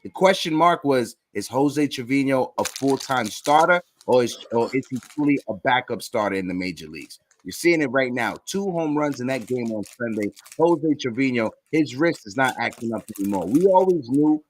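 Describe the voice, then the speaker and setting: A man's voice: medium pitch, animated, moderate pace, few pauses, slight background noise. A man hosting a casual live sports fan show, talking straight to his audience in slangy, repetitive phrasing. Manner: addresses listeners directly, slangy, repeats phrases.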